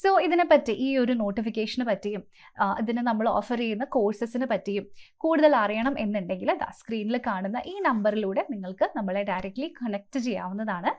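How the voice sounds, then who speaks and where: A woman's voice: mid-range voice, lively intonation, brisk, a little mellow. A woman giving an instructive coaching presentation to exam aspirants, mixing in many English terms.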